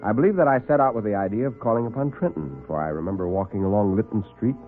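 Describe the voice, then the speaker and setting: An actor playing a precise, brooding artist of forty, narrating formally and gravely in first person for classic radio. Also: male voice, lowish voice, lively intonation, fast talker, close to an everyday voice. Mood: measured, ominous. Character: forty-year-old male artist, precise, brooding diarist. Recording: muffled vintage radio recording with music.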